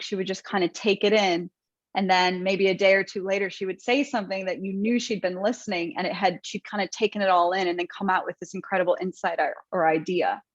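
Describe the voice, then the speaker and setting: A woman interview guest talking thoughtfully, with many pauses, ums and restarts. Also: medium pitch, no room sound. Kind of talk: conversational interview. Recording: dry, muffled lo-fi sound.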